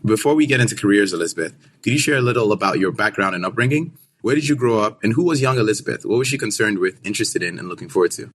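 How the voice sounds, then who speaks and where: A young man hosting a podcast, putting questions to his guest in a brisk, friendly way. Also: on the low side, expressive. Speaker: podcast host, interviewer. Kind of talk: interview hosting.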